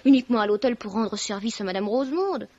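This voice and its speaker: An actress playing a spirited, sensible young student in a 1950s French radio mystery, lively, cheeky and slangy. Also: female voice, a little high, fast. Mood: reproving. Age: young adult. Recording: dull vintage radio recording.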